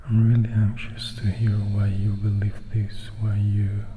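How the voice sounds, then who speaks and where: A man's voice: on the low side, monotone, a little slow, noisy background, close to an everyday voice. A man voicing an eager, nervous boy interviewer in a whispered storybook reading, earnest and a little tentative. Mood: anxious, curious.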